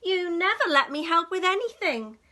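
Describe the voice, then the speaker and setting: Lady doing a little boy duck from a picture book, high voice, plaintive and eager. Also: sing-song, moderate pace, pushed and bright, heavily acted. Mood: wailing, hurt. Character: small eager male duck, easily wounded.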